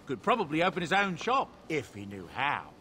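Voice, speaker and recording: A man's voice: on the high side, sing-song, sharp tone, slight background noise. An actor voicing a genial middle-aged dwarf merchant in a fantasy video game, chatty and folksy as a fond father. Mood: musing, proud. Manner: folksy, chatty, unhurried storytelling. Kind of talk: video game dialogue acting. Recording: game audio with clear background ambience.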